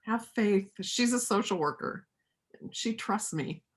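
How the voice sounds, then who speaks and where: A woman playing an earnest, determined helper of a fugitive in a reader's theater play, speaking in an insistent, deliberate way. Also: medium pitch, lively intonation. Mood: reassuring, resolute. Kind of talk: reader's theater stage acting.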